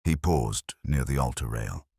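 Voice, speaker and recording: A man narrating a scripted first-person detective story in a measured, literary, brooding manner. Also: rumbling low, a little mellow, husky, no room sound, studio quality.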